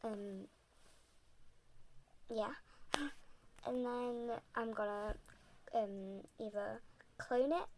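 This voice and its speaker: A young woman, a bit sick, rambling softly and often half-whispering in a casual voice-over about building in a game. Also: on the high side, drawn-out words, pauses often, a little hiss or hum.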